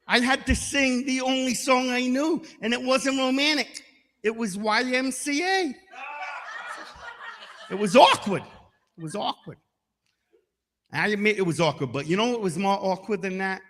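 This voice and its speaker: Middle-aged male stand-up, high bright voice, punchy and deadpan, live room with a long reverb. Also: moderate pace, pauses often. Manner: punchy, deadpan asides, bursts into loud shouted singing. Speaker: stand-up comedian. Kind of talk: stand-up comedy set. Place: comedy stage.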